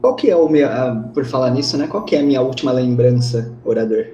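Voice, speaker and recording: A man's voice: mid-range voice, fairly quick, warm tone, slight background noise. A man with a Brazilian accent playing a tabletop RPG, casually narrating what his character does. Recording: roomy echo, music behind. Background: music.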